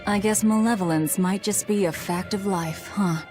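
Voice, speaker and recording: A woman's voice: mid-range voice, moderate pace, music in the background, natural voice. A woman playing a brusque, guarded, sardonic young female fighter in a video game.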